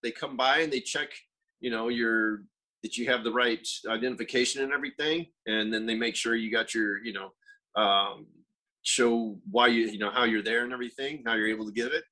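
A laid-back man co-hosting a casual chat show. His voice is bright and forward with a husky edge. He talks loosely and swears now and then. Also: mid-range voice, fairly quick, poor audio. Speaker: podcast co-host.

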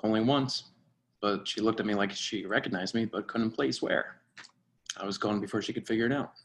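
A man acting a dry, by-the-book surveillance agent and mentor in a screenplay reading, with a measured, instructive delivery. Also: lowish voice, a bit bright. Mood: guarded.

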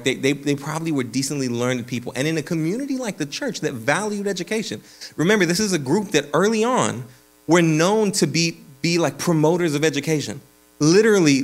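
A pastor preaching a sermon in a church, casual and energetic, with slang and frequent restarts. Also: male voice, medium pitch. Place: church sanctuary.

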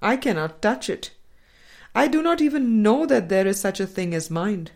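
A woman reading a spiritual dialogue book aloud as an audiobook, in a measured, deliberate manner with pauses. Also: mid-range voice, animated, gravelly, a little mellow. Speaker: audiobook reader.

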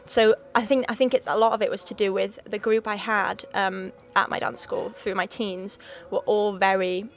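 A woman's voice: mid-range voice, level tone, normal speed. A young woman from North Wales with a Liverpool-leaning accent, chatting as a podcast guest with lots of fillers, restarts and laughs. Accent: Liverpool-leaning North Welsh. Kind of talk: casual interview chat.

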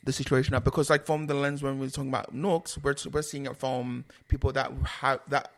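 A man in a casual podcast debate, calmly weighing the different sides of an issue. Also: medium pitch. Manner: measured, weighs both sides.

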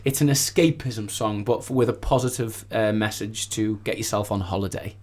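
A man's voice: fairly low pitch, fairly even intonation, normal speed, a little mellow. A man speaking as a singer-songwriter guest in a casual interview, effusive and rambling, often repeating his phrases.

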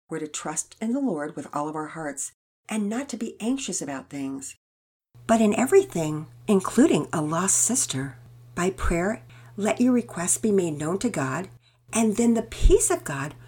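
Woman doing a gentle, reassuring mother. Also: fairly low pitch, breathy edge, pitched above the performer's own voice, heavily acted. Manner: gentle, soothing, preachy.

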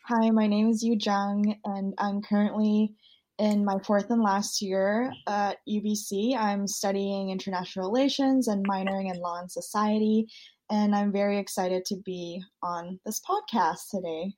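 A woman's voice: medium pitch, steady tone, normal speed, a little mellow. Woman, twenties, from South Korea, student guest, podcast interview, fluent, thoughtful. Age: 20s. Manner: fluent, thoughtful, many um fillers.

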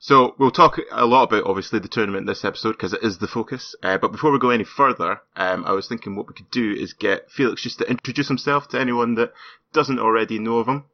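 Joking low-voiced podcast host. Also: male voice, fast. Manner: joking, swears casually. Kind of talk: casual podcast chat. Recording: slightly muffled podcast audio.

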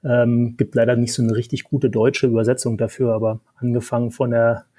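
A man with a Berlin accent talks through his career in a relaxed podcast chat. His voice is dark and mellow, with a slightly husky edge. He pauses often and leans on fillers as he thinks out loud. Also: mid-range voice. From Berlin, Germany. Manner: hesitant, many fillers. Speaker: podcast guest, tech executive. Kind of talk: casual podcast conversation.

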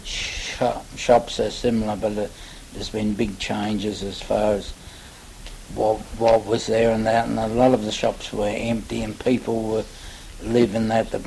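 An older Australian man, in his seventies or beyond, reminiscing in a halting, rambling way for an oral history interview. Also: fairly low pitch, level tone, creaky, darkish tone, poor audio. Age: older, 70s or above. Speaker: interviewee recalling local history. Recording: faint hiss, amateur interview recording.

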